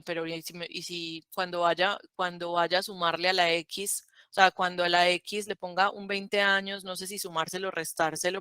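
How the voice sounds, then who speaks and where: Woman teaching math online, 30s, bright clear voice, pitch a bit low, keeps asking "right?". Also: no echo. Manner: conversational, checks with "¿cierto?". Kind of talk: online class lecture.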